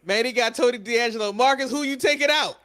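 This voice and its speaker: A man's voice: extremely high pitch, fast talker, a bit bright. An American man hosting a casual podcast chat, opinionated and emphatic, repeating phrases to drive his point home. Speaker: wrestling podcast host.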